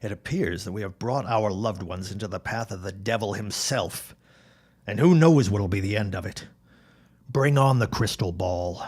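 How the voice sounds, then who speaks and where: An actor playing a firm, skeptical neighbor and friend in an audio drama. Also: male voice, fairly low pitch, normal speed, a little mellow, husky. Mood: grim.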